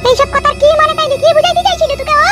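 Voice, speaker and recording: A woman's voice: extremely high pitch, steady tone, racing, loud music behind the voice. A woman voicing a furious, protective village mother in a cartoon comedy, scolding and cursing shrilly. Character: furious foul-mouthed village mother, fiercely protective of daughter.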